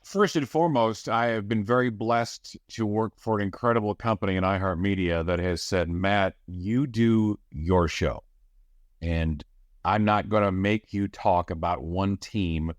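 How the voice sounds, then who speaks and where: A man with a somewhat low voice talks sports in a relaxed, emphatic way, circling back to the same point more than once. The sound is dry and close, with no room around it. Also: normal speed, dry recording. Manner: conversational, emphatic repetition, filler "uh". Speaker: sports radio host and play-by-play announcer. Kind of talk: podcast interview answer.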